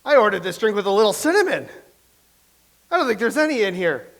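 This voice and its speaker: An actor playing a gloomy playwright from an older era who talks in a mournful, self-pitying way in a staged play reading. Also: male voice, high voice, big pitch swings, fast, a bit bright, exaggerated voice. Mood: mopey.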